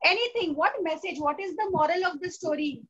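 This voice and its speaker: A woman's voice: very high-pitched, fairly quick, a bit bright, dull audio. A woman teaching an online class for children, patient and repetitive, checking with "okay?" often. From India. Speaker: school teacher.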